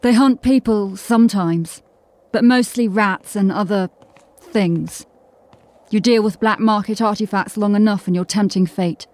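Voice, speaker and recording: Casting: woman playing sardonic female watch officer, medium pitch, dry and knowing, fantasy audio drama. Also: unhurried, darkish tone, close to an everyday voice. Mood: wary. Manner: wry, knowing.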